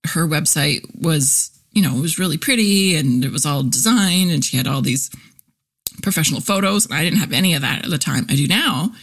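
Forties woman, podcast host, slightly low pitch, loose chatty delivery with lots of fillers. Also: lively intonation, brisk, breathy edge. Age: 40s. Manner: chatty and candid, many fillers, laughs easily. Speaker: podcast host, copywriter and content teacher. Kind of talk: casual solo podcast chat.

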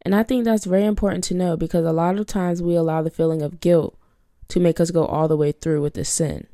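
A woman's voice: lowish voice, steady tone, fast talker, mellow, no room sound, studio quality. A young woman hosting a faith-based podcast, congested from a cold, teaching in an earnest, preachy way and repeating her key phrases. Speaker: podcast host.

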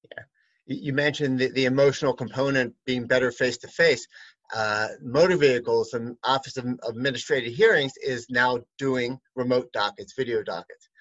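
A man who works as an attorney, asking a colleague questions in a relaxed, rambling way with many fillers. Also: medium pitch, normal speed, no room sound, highs cut off. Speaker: attorney and interviewer. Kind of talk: conversational chat.

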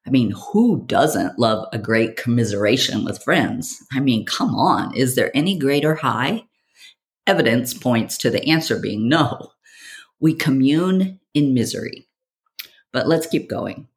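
A woman hosting a podcast, delivering a scripted monologue in a theatrical, provocative way with deliberate phrasing. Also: rumbling low, lively intonation. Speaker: podcast host.

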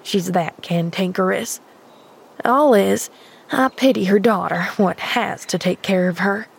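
A woman's voice: medium pitch, a little slow, gravelly, slightly rough. A woman voicing a terse, plain-spoken household servant in a storybook, with a sour, folksy, grumbling manner. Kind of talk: storybook character voice.

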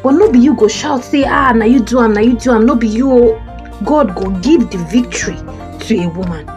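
High-voiced, commanding Nigerian prophetess acted by a woman. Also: fairly quick, soft background music, lightly acted. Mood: firm, reassuring. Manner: emphatic, questioning tags. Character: confident, commanding prophetess Deborah, motherly and firm. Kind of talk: audio Bible play in Nigerian Pidgin and English.

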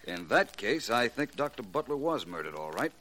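An American actor playing a shrewd, self-assured gentleman detective in 1940s radio style, polished, courteous and unruffled. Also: medium pitch, big pitch swings, moderate pace. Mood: assured, deductive. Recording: noisy vintage radio recording with clicks.

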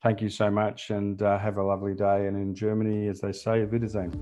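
A man's voice: fairly low pitch, completely flat delivery, fast, over loud music. A man who is a podcast guest and consultant, talking earnestly and emphatically in a conversational interview. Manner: earnest and emphatic, with repeated phrases. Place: living in Germany.

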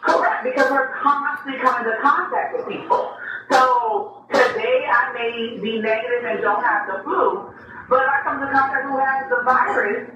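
A doctor calling in to a radio show, explaining medical points in a measured, emphatic way. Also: medium pitch, steady tone, heavy reverb, distant mic, noisy background. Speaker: urgent care doctor, caller. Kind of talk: phone-in explanation.

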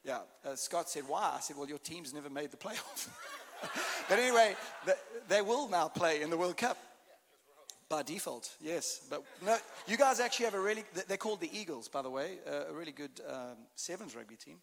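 South African pastor with a playful delivery. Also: male voice, a little high, expressive, creaky. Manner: playful, teasing, quick to laugh. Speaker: guest pastor. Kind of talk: sermon opening. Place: large church sanctuary. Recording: long hall reverb.

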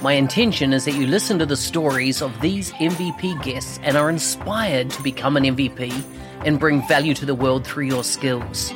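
A man's voice: mid-range voice, normal speed, music in the background. A man hosting a podcast interview, introducing and questioning in a conversational way. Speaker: podcast host.

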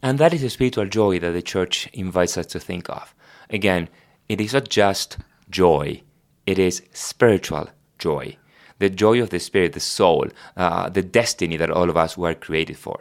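A man with an Argentine Spanish accent and a very low voice talks through faith in a reflective, explanatory way. His English carries the rhythm of a native Spanish speaker, padded with small "uh"s and "I mean"s as he thinks aloud. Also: moderate pace. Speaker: priest guest. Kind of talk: conversational religious podcast talk.

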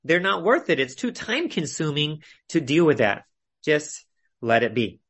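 A man teaching an expository Bible study in an earnest, instructive way with measured phrasing, speaking over an online video call. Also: on the high side, sing-song, fairly bright tone, slightly rough.

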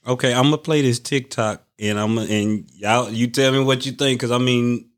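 A man's voice: mid-range voice, fairly even intonation, normal speed. Rambling man podcast co-host. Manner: rambling, many fillers. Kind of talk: casual chat.

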